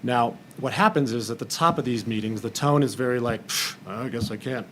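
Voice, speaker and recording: A man's voice: medium pitch, brisk, fairly bright tone, slight background noise. A man speaking candidly and informally as a producer in a roundtable discussion, explaining things in plain words. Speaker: film and TV producer on a panel.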